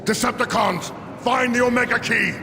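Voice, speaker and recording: Gravelly, imperious robot warlord. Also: male voice, extremely high pitch, fairly even intonation, normal speed, very noisy. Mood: commanding, forceful. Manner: grandiose, sneering, commanding. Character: imperious, ruthless male robot warlord, scornful and menacing. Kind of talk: theatrical video game villain acting.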